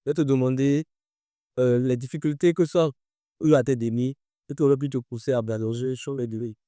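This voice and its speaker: A man with a very dark, mellow voice asks his interview questions casually. He stumbles and repeats words as he goes. The sound is dry and close. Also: mid-range voice, fairly even intonation, fairly quick, no echo.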